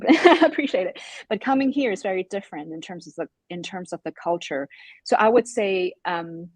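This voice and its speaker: A woman's voice: fairly low pitch, animated, normal speed, a little mellow, dry recording. A woman from Hong Kong, a modest guest on a video call interview who laughs easily.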